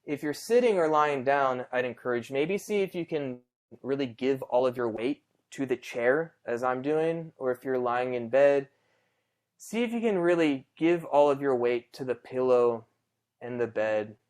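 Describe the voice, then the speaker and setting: Clear-voiced man gently guiding meditation. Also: medium pitch. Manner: gentle, reassuring, unhurried with long pauses. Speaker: meditation guide. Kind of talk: guided meditation, conversational and unscripted.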